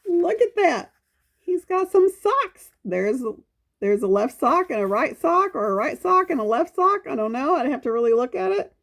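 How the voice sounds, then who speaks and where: A woman's voice: high-pitched, big pitch swings, normal speed, a bit bright. A woman reading a picture book aloud to young children, adding folksy exclamations and coaxing little questions as she goes. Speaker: children's storytime reader.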